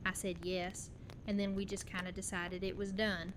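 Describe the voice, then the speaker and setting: A woman's voice: medium pitch, level tone, moderate pace, lightly acted, rough recording. A woman playing a tender, resolute young widowed mother in an audio drama, speaking in an earnest, conversational way. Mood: matter-of-fact, amused. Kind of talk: fan audio drama dialogue. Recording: clear background noise and ambience.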